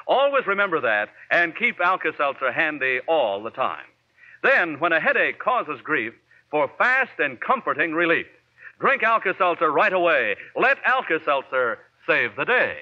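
Animated male vintage radio announcer. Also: on the high side, moderate pace. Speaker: commercial announcer. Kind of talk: 1940s radio ad read. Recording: muffled vintage radio recording.

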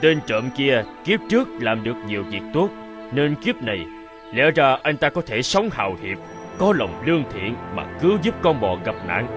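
A man's voice: medium pitch, very animated, normal speed, lightly acted. A man voicing a solemn, wise bearded god in a children's cartoon, lecturing in a stately manner. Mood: instructive. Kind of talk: dubbed children's cartoon acting. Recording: prominent music under the voice.

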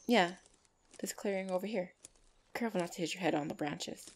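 A woman playing a gentle, shy orc lover in an ASMR roleplay, speaking softly and hesitantly with tender pauses. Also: fairly low pitch. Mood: wistful, tender.

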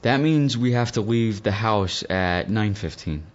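A man's voice: fairly low pitch, measured pace, dull audio, close to an everyday voice. A man playing a cautious air traveller in a scripted lesson dialogue. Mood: careful.

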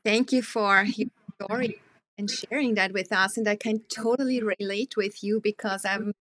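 Bright-voiced woman host on a video call. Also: medium pitch, steady tone, moderate pace, no room sound. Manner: enthusiastic, warm welcomes. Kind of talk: casual video-call interview. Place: home room.

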